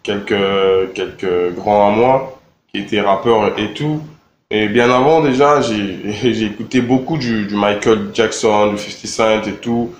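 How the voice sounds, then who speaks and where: A young man from Congo answering interview questions in a relaxed, halting way, with many fillers and repeated words. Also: lowish voice, slight background noise. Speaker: rapper interviewed. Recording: echoey room with faint ambience.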